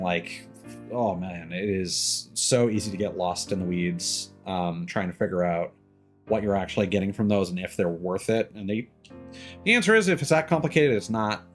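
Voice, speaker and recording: A man's voice: lowish voice, normal speed, faint music behind. A man in his thirties chatting casually on a game livestream, rambling and opinionated as he talks. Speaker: game streamer.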